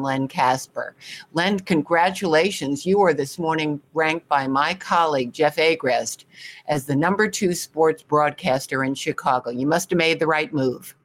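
Low-voiced woman hosting an interview. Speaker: interviewer, show host.

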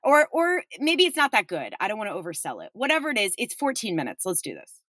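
A woman's voice: medium pitch, wildly swooping pitch, fast talker, fairly bright tone, dry recording, clean studio sound. A young woman hosting a podcast, talking candidly and swearing freely, with frequent laughs, recorded in a closet at home. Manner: candid, profane, bubbly, laughs often. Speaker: podcast host. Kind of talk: casual podcast intro and interview. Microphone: studio mic close up.

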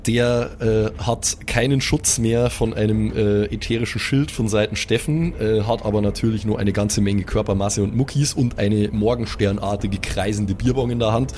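A man's voice: on the low side, steady tone, normal speed, few pauses, darkish tone, faint music behind. A man acting as game master, improvising vivid narration with colloquial asides.

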